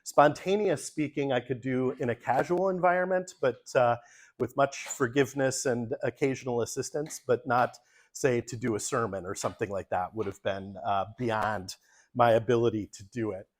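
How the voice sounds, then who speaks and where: Man, pastor, casual church forum talk, relaxed, lots of ums, mid-pitched voice. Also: moderate pace. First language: English. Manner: relaxed, many ums, talks through slides. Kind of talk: informal church forum presentation.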